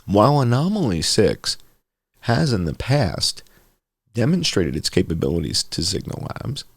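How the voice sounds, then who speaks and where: A man with a deep, creaky voice narrates a written report in a measured, deliberate way. His delivery rises and falls in lively swings, with some words drawn out for emphasis. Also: dry recording. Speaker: host reading an article aloud. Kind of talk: scripted narration, read-aloud journalism.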